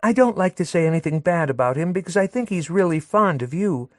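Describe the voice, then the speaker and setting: A man's voice: fairly high pitch, lively intonation, a little fast, no echo, clean studio sound, voice pushed up, close to an everyday voice. Man putting on a cooing, catty young woman. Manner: sweet, wheedling and catty. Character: coy jealous young woman, cooing to her lover.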